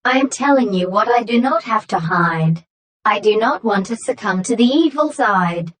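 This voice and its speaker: A woman playing a stern young female courier in a story, speaking in formal, matter-of-fact, measured statements. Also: mid-range voice, animated, lightly acted. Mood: earnest, serious. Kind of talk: scripted story dialogue.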